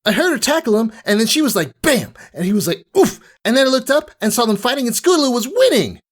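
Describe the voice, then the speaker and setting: A man voicing an eager, excitable little girl pony from a cartoon. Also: very high-pitched, fast talker, pitched above the performer's own voice, exaggerated voice. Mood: excited rambling.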